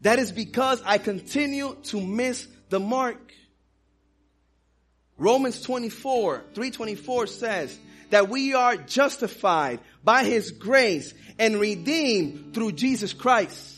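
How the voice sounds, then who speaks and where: High-voiced man preaching with passion. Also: animated, takes it slowly, a bit bright, soft background music. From Dominican Republic. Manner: impassioned preaching with personal stories. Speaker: pastor. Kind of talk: sermon.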